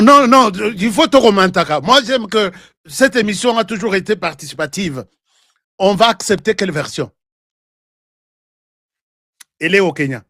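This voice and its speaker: A man's voice: high voice, a bit bright, dry recording, clean studio sound. A man with a Congolese accent giving heated political commentary, hammering points with repeated words and rhetorical questions. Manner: emphatic, repeats himself, asks rhetorical questions; switches between French and Lingala. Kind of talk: political commentary with played clips.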